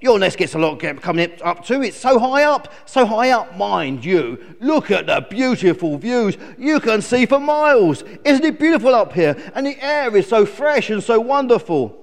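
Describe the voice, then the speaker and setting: Man putting on a high, eager storybook raven. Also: sing-song, moderate pace, gravelly, hardly any pauses, big-room sound, exaggerated voice. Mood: effusive. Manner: exclaiming, effusive, welcoming. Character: friendly, eager, chatty male raven. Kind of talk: children's storybook character voice.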